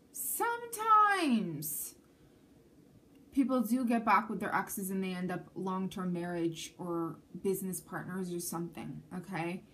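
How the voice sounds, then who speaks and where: A woman's voice: medium pitch, a bit bright. Chatty tarot-reading woman. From Canada. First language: Armenian. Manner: chatty, often checks in with the viewer. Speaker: tarot reader. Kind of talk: intuitive tarot reading to camera.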